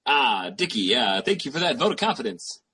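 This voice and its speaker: A man playing a pushy, scheming boyfriend with big schemes and a husky voice in an old-time radio comedy. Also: mid-range voice, very animated, pushed and bright, a little hiss or hum. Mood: pleased, hurried. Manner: pushy, fast-talking salesman patter. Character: brash, scheming small-time hustler boyfriend.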